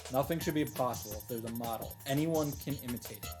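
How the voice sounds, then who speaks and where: Man reading dramatically over loud music. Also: medium pitch, fast. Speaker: visual novel reader and commentator. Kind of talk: dramatic read-aloud of first-person story narration with reactions. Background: game music.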